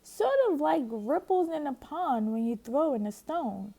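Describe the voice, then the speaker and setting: A woman's voice: high-pitched, animated, a little slow, creaky, warm tone, voice pushed up, exaggerated voice. A woman reading a storybook aloud and voicing a cheerful eight-year-old boy bear cub. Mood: cheery.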